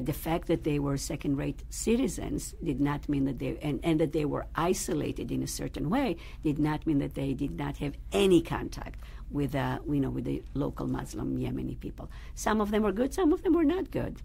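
Low, gravelly woman rabbi. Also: lively intonation, normal speed, darkish tone. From Israel. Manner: thoughtful, searching for words with fillers. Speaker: rabbi, guest. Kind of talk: interview, personal storytelling. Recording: faint background noise.

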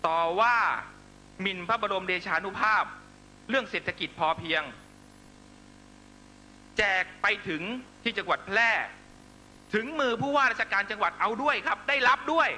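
A man's voice: high-pitched, expressive, moderate pace, long pauses, bright, forceful tone, a little hiss or hum, low-quality recording. A man delivering a fiery political speech to supporters, accusatory and emphatic, constantly pressing listeners with rhetorical questions. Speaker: political rally speaker.

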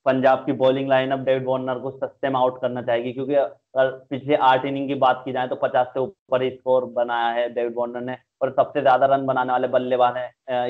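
A young man giving conversational cricket match analysis over a video call. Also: mid-range voice. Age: young adult. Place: indoors, small room, video call. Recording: thin phone-like video call audio.

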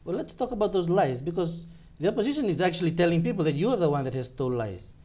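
A man in his thirties interviews a guest in a TV studio, pressing him with challenging questions. He talks quickly, in a dark, mellow voice. He is close on a lapel mic. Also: on the high side, very animated. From Fiji.

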